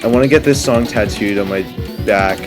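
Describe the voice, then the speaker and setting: A man's voice: on the low side, brisk, over music. A young man hosting a gaming video, rambling and joking with casual profanity among friends. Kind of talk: casual game commentary with friends.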